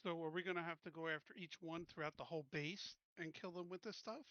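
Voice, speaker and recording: A man's voice: a little high, fairly even intonation, quick pace, fairly bright tone, no echo, highs cut off. A man playing a tabletop game, arguing his case casually and bluntly.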